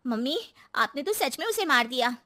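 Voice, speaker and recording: A woman playing a young, fond but exasperated and timid daughter-in-law in a children's story cartoon, with expressive, chiding delivery. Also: high-pitched, normal speed, full character voice. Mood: astonished.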